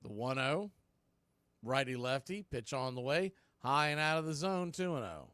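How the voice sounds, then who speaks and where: A man doing live baseball play-by-play on radio, speaking in clipped phrases with pauses between calls. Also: mid-range voice, sing-song, a bit bright, clear, resonant tone, no echo.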